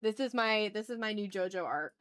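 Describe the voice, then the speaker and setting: A woman chatting casually as she hosts an art livestream, talking to her viewers in an animated, slangy, teasing way with some swearing. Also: mid-range voice, brisk, clean tone. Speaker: livestream host and artist. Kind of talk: casual art livestream chat, reading and answering chat messages.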